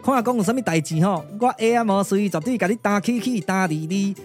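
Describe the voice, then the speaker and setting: A man's voice: high-pitched, brisk, soft background music, natural voice. A man with a Taiwanese accent voicing a cheeky, boastful, streetwise sworn brother, chatty and colloquial, in a puppet-theatre radio drama. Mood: breezy, boasting. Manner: chatty, colloquial, full of interjections.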